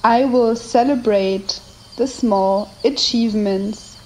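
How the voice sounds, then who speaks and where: A woman narrating a scripted guided meditation with affirmations, in measured short phrases separated by long pauses. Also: on the high side, a little mellow, slight background noise, rough recording. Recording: nature ambience behind the voice. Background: nature and ambient sounds.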